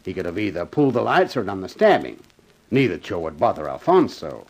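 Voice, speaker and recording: An actor playing a shrewd, dry, blunt police lieutenant with slangy talk in a 1950s radio detective drama. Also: male voice, low-pitched, very animated, a little fast, creaky, a little mellow, exaggerated voice. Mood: businesslike. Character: shrewd, sardonic male police homicide lieutenant. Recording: vintage 1950s radio recording.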